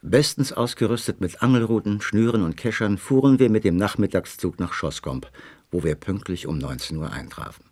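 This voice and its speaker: A man playing a genial gentleman narrator who recalls his adventure in scripted radio play narration, with a husky voice. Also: fairly low pitch, moderate pace, lightly acted. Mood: calm, reminiscing.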